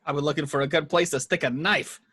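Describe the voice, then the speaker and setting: A man playing a cynical, sarcastic private detective in an amateur table read, gruffly dismissive in his delivery. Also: fairly high pitch, steady tone, fast, sharp tone, dry recording, studio quality. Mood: wary.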